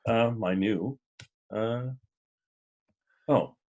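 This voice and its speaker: A man hosting a casual podcast, chatting in a rambling, jokey way with a husky voice. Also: on the low side, drawn-out words, a little mellow, dull audio. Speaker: podcast host.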